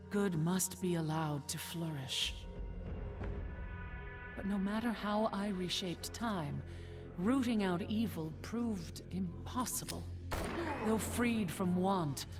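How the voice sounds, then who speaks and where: An actress voicing a solemn, regal goddess who judges mortals, narrating severely in a video game epilogue. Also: female voice, on the low side. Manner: stately, measured, severe. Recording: loud music behind the voice.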